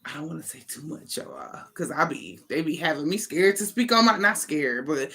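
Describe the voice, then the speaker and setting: A woman's voice: low-pitched, expressive, moderate pace, a bit bright. An American woman giving an informal, improvised tarot reading as an online host, talking in an emphatic, slangy, conversational way with casual swearing.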